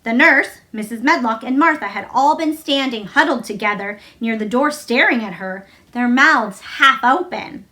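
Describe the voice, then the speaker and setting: A woman narrates a children's story aloud. Her voice is high and bright. She reads scripted prose expressively, as a storybook reader. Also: moderate pace, hardly any pauses.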